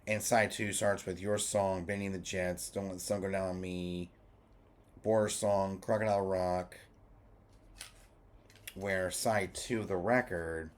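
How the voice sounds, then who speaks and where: A man giving a casual, rambling review, halting and restating his points as he goes. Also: deep voice. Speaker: vlogger reviewing music formats.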